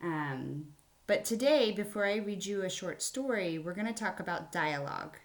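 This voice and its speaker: A woman's voice: fairly low pitch, lively intonation, normal speed. A woman teacher giving a children's writing lesson in simple, explanatory phrases. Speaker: elementary school teacher. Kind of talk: read-aloud writing mini-lesson for children.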